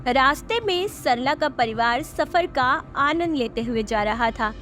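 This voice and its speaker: A woman narrates a scripted moral story. Her voice is high-pitched, and music is clearly heard behind her. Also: expressive, measured pace, fairly bright tone.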